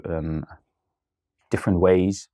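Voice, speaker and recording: A man's voice: sits low, steady tone, takes it slowly, warm tone. A man in his thirties with a Dutch accent, speaking as an interview guest in a hesitant way, with many fillers and restarts. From Netherlands. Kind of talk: casual interview talk.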